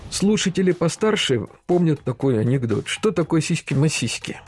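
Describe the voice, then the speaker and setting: A man hosting a radio talk show who introduces his guest and tells stories at a measured pace. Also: on the high side, sing-song, over music. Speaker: radio host.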